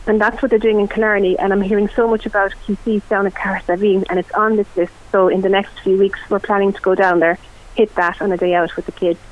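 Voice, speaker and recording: A woman's voice: medium pitch, level tone, fast. A middle-aged Irish woman giving an enthusiastic, chatty food report on the radio. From Ireland. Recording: tinny phone line.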